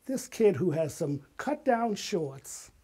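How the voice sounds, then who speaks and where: High-pitched older man telling stories. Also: sing-song, takes it slowly, warm tone, slightly rough. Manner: dramatic, emphatic delivery with acted-out quotes. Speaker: interview guest, retired artist and playwright. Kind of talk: animated personal storytelling.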